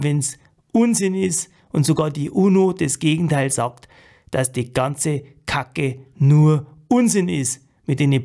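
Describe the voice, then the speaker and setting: Creaky-voiced Bavarian man, casual. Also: a little high, darkish tone. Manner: colloquial, blunt. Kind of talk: casual spoken commentary to viewers.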